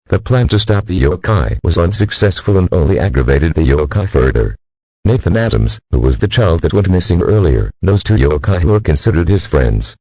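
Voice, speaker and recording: A man's voice: rumbling low, darkish tone, husky, no echo, rough recording. A man reading a scripted emergency broadcast announcement in a formal, stiff delivery as an alert announcer.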